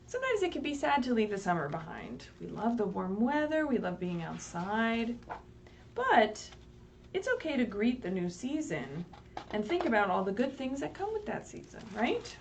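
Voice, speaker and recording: High, animated woman reading to young children. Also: normal speed. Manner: sing-song, asks questions and checks in with "right?"; simple, patient explaining to children. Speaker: children's librarian leading story time. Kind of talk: read-aloud picture book story time for young children. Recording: distant mic, faint background noise, livestream.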